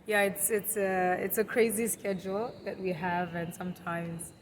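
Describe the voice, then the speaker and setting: A young woman from Zimbabwe, a podcast guest who talks in a relaxed, storytelling way and laughs easily. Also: mid-range voice, normal speed, slight background noise. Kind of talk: conversational interview.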